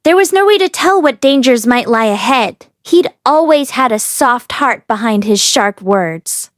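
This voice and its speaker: A woman's voice: on the high side, no echo, studio quality. A woman narrating a novel aloud as the host of a read-along. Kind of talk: expressive story narration.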